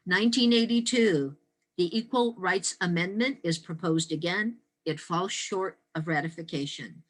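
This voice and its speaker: Low-voiced American woman in her sixties, deliberate storyteller. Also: a bit bright. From Detroit, Michigan, United States. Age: 60s. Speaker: storyteller and speaker. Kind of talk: prepared historical talk with personal stories.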